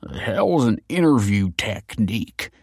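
A man's voice: fairly low pitch, sing-song, measured pace, vocal fry, a little mellow, breathy edge, no room sound, heavily acted. Audiobook drunk dad played by a man: slurred, surly, bitter, dragging his words, recorded dry. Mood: scornful, sulky. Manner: surly, belligerent, drawling. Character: drunken, bitter, self-pitying deadbeat father.

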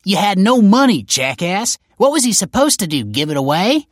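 A man's voice: high voice, sing-song, normal speed, no echo, clean studio sound, pitched above the performer's own voice. A man voicing a brash, belligerent, heavyset foster mother with snappish, scornful, slangy speech.

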